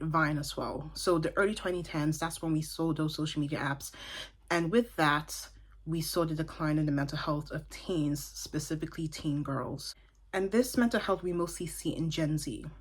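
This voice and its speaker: A woman in her twenties from Dublin, chatting casually and thoughtfully about a book, with side remarks. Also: deep voice. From Ireland (Dublin). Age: 20s. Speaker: book vlogger. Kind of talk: casual book review talk.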